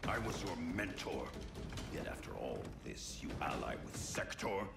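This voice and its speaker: A man playing a stern, betrayed ninja clan master in a video game, grave and intense, with a gravelly voice. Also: rumbling low, big pitch swings, unhurried, roomy sound, heavily acted. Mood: hurt, accusing. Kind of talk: video game cutscene acting. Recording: distant voice, loud music behind.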